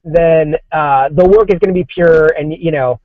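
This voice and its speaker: A man's voice: fairly high pitch, normal speed, dry recording. A man in his forties, a guest on a casual podcast, talking loosely with many fillers and restarts. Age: 40s. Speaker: comics creative director, guest. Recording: dry, dull lo-fi sound.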